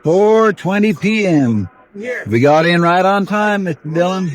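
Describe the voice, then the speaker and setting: A folksy frontier deputy, with a fairly high voice. He sounds hesitant and deferential, an uneasy sidekick in an old radio western. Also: expressive, unhurried. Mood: mild, doubtful. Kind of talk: 1950s radio drama acting. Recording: vintage radio recording.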